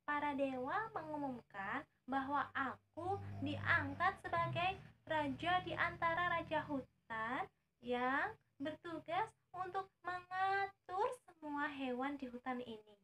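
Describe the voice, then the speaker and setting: Woman voicing a high-pitched, haughty sly fox. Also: bright, forceful tone, a little hiss or hum, exaggerated voice. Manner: pompous, feigning indignation. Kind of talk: children's storybook storytelling.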